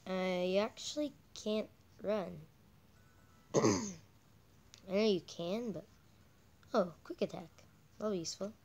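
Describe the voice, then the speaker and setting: A woman doing casual, halting game commentary as a channel host, her voice croaky from a cold. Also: mid-range voice, darkish tone. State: croaky with a cold, coughing and throat-clearing.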